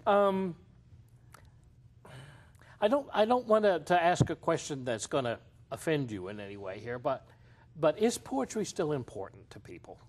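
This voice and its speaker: A man's voice: a little high, big pitch swings, moderate pace, pauses often, a little mellow. A man hosting an author interview, asking tentative, friendly questions. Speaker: interview host.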